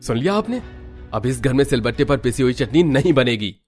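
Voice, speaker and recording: A man voicing an indignant, protective young husband in a Hindi animated family story. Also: medium pitch, fairly quick, faint music behind, pitched above the performer's own voice, natural voice. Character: indignant young husband defending his wife.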